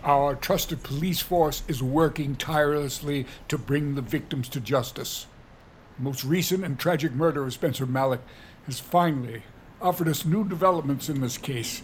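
An actor as evasive city mayor, higher-pitched man. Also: slight background noise, natural voice. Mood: guarded, official. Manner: measured, evasive political speech. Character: defensive city mayor at a press conference. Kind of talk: audio drama acting, formal public address.

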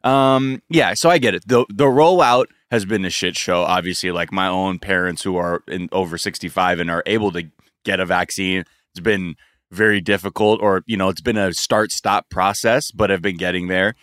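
A man's voice: deep voice, steady tone, normal speed, fairly bright tone, no room sound, clean studio sound. A man co-hosting a casual podcast, riffing loosely with blunt profanity and exasperation. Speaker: podcast co-host.

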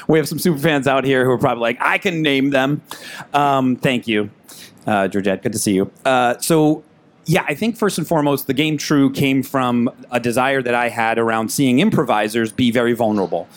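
A man's voice: mid-range voice, normal speed. A man speaking on a panel as an improviser, reflective and hesitant.

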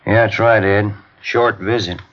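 An actor playing a plain-spoken police detective partner in a 1950s radio drama, reporting facts in a matter-of-fact way. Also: male voice, on the low side, fairly even intonation, a little slow, lightly acted. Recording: dull, lo-fi 1950s radio broadcast.

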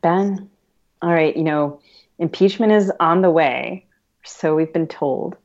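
A woman's voice: low-pitched, slow pace, a little mellow, muffled recording. A woman hosting a podcast in a conversational interview style. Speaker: podcast host.

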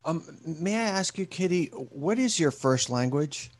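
A man's voice: a little high, unhurried. Man telling it straight to a group. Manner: lively storytelling, talks to a group. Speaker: guest author. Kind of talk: interview answer.

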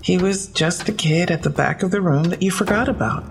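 A woman's voice: fairly low pitch, fairly quick, slight background noise, natural voice. A woman acting a businesslike police detective in an audio drama, speaking in a matter-of-fact, slightly awkward way. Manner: matter-of-fact, clipped case briefing.

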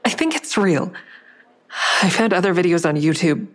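A young woman amateur sleuth in a fiction podcast. Her voice sits a little low, and she sounds anxious yet determined, speaking in a natural, understated way. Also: animated, moderate pace. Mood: uneasy, defensive. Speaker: lead character and first-person narrator. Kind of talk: naturalistic audio drama acting.

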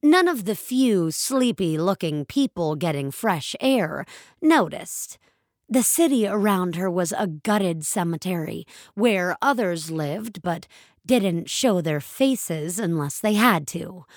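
Female narrator, unhurried pace, very varied intonation, scripted dramatic storytelling, dry studio-like sound. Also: lowish voice, expressive, no echo.

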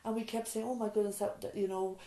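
A woman's voice: mid-range voice, level tone, quick pace, echoey room. A middle-aged woman, a nurse and mother, telling her story in an interview with frequent restarts and asides. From Ireland. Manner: warm storytelling, frequent restarts and "you know". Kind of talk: reflective interview answers. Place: island nurse's surgery, small room.

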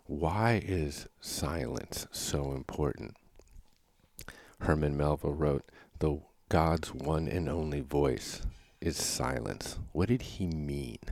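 A man's voice: a bass voice, darkish tone, no echo, studio quality. A man hosting a podcast, talking thoughtfully in a solo reflection and asking rhetorical questions. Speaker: podcast host.

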